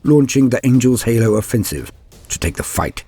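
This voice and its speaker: A man giving a grave, theatrical reading of scripted war lore as a narrator, in measured phrases. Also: mid-range voice, big pitch swings, warm tone, husky. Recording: voice over background music. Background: music.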